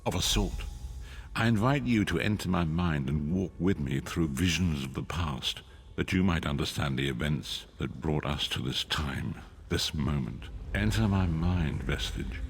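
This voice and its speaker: An actor playing a frail, blind old prophet and mystic guide in a fantasy video game, speaking gravely in archaic, oratorical phrases. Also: male voice, a bass voice, measured pace, a little hiss or hum, full character voice. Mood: solemn, inviting. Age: older. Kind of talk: dramatic fantasy game voice acting.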